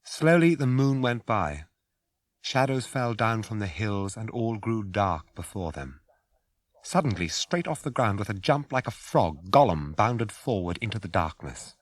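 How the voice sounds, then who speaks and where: A man's voice: lowish voice, big pitch swings, unhurried, no room sound, distant mic. A man narrating a radio drama from a script in a dramatic storytelling style.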